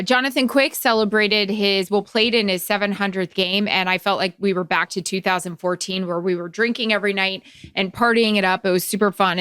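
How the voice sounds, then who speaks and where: A woman's voice: mid-range voice, a bit bright, smooth, no echo, clean studio sound. A woman co-hosting a podcast, telling stories in casual, self-deprecating chat. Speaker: podcast co-host.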